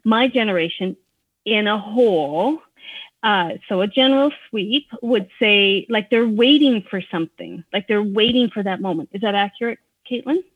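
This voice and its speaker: A woman in her fifties chats as a podcast co-host. The sound is narrow and phone-like, very dry, and her pitch is average for a woman. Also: normal speed, slightly rough, no echo. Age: 50s. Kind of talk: casual conversational discussion. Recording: thin phone-like band-limited sound.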